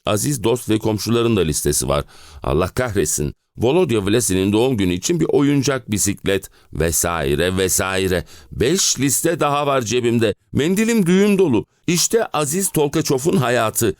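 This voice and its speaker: A man's voice: medium pitch, sing-song, moderate pace, no echo, studio quality. An actor playing an exhausted middle-aged family man and clerk, complaining theatrically in an audio play. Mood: fed-up ranting. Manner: dramatic, complaining, rhetorical questions. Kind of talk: theatrical audio drama monologue.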